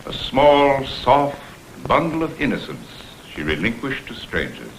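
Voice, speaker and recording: An actor playing a smooth, insinuating blackmailer who poses as a humble go-between, with florid, theatrical phrasing, in a vintage crime drama. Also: male voice, medium pitch, huge pitch swings, a little slow, low-quality recording. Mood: oily, pleading. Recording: vintage TV soundtrack, faint noise.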